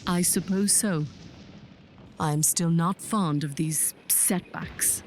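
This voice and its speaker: A woman's voice: fairly low pitch, slow. A woman playing a vengeful, domineering female general, the villain of a science fiction audio drama, commanding and menacing. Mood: grudging. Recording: faint background noise.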